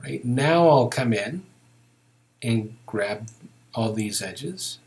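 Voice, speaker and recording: A man teaching software in a casual, unscripted way, thinking aloud as he walks through each step. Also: mid-range voice, animated, very slow. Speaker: software tutorial instructor. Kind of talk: narrated step-by-step screen tutorial, unscripted.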